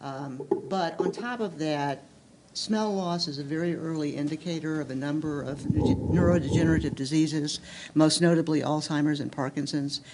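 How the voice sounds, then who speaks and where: A woman researcher answering a panel question in a careful, halting way, with fillers and restarts. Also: low voice, background noise. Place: hospital symposium hall.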